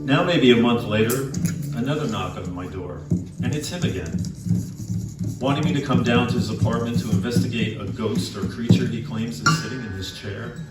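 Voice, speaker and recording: A middle-aged man reading his own literary short story aloud at a live indoor event, in a measured way with pauses between short phrases. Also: medium pitch. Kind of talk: literary spoken-word story reading with musical accompaniment. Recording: very echoey room, distant microphone. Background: live guitar accompaniment.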